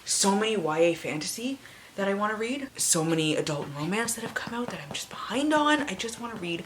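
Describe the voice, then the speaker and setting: A woman's voice: mid-range voice, sing-song, brisk, slight background noise, poor audio. A woman in her late twenties chatting casually as a book vlogger, candid and self-deprecating, rambling and swearing freely. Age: late 20s.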